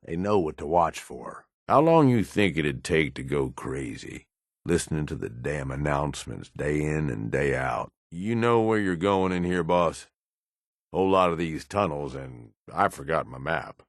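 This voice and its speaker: Deep-voiced, gruff American wasteland raider. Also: male voice, lively intonation, a little slow, no echo, clean studio sound, full character voice. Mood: impatient, insistent. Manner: blunt, world-weary, rough colloquial talk. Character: gruff, cynical male raider gang lieutenant in a post-apocalyptic wasteland. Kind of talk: video game character dialogue.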